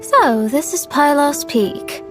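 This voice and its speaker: A high, youthful female voice plays a proud astrologer with a quick temper. She is dramatic and sure of herself, in anime game style. Soft music runs behind. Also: lively intonation. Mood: curious, wary. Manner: dramatic, self-assured. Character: proud, hot-tempered young woman astrologer. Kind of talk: anime video game voice acting.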